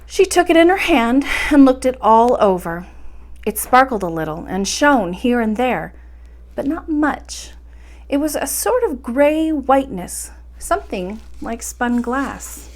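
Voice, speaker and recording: Animated woman reading a bedtime story. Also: a little high, unhurried. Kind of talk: expressive bedtime-story narration.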